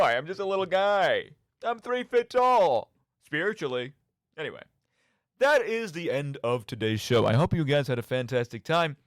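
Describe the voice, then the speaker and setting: Animated young man hosting, higher-pitched voice. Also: no echo, studio quality. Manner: rambling, jokey, self-deprecating. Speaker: radio show and podcast host. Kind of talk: casual solo commentary on video games.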